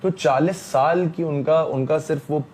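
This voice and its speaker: A man, speaking emphatically as an interview guest, repeating himself and often pausing on fillers. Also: on the high side, steady tone, darkish tone, a little hiss or hum. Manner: emphatic, repetitive, frequent fillers. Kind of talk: heated interview talk.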